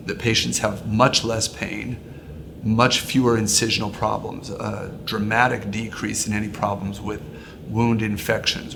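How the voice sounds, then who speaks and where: Male surgeon, interview explanation. Gravelly, flat intonation, recorded with clear ambient noise. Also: on the low side. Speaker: bariatric surgeon.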